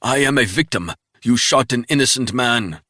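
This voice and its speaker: A man's voice: mid-range voice, expressive, moderate pace, sharp tone, dry recording, pitched above the performer's own voice. A man voicing a panicked middle-aged male spy who pleads and then sneers at his captor, in a dry, close audiobook reading. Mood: taunting.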